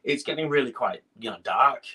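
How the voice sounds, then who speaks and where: A man's voice: medium pitch, lively intonation, a little fast, husky, poor audio. A middle-aged man with a northern English accent, a guest chatting casually on a video call, hesitant with many fillers and restarts. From Scotland. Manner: hesitant, lots of fillers and restarts, soft laughs. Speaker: guest musician. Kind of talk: casual interview chat.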